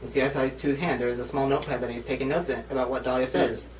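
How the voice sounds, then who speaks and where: A man's voice: medium pitch, fairly even intonation, racing. A man in his twenties casually reading out script stage directions as host and narrator. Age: 20s. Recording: roomy, distant mic, faint noise.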